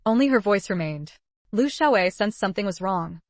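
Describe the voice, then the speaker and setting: Measured woman narrating a novel. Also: mid-range voice, animated, a little mellow, no room sound. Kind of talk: scripted audiobook narration.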